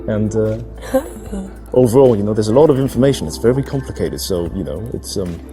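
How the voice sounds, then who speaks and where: A man's voice: lowish voice, fairly quick, dark tone, faint music behind, close to an everyday voice. An actor playing an eager, earnest man chatting up a woman in casual date talk, hesitant and repeating his words. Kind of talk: acted casual date chat.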